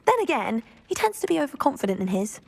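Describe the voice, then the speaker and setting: A woman's voice: sits high, sing-song, quick pace, gravelly, exaggerated voice. A woman playing a friendly, eager teenage witch schoolgirl in a video game. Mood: friendly, curious.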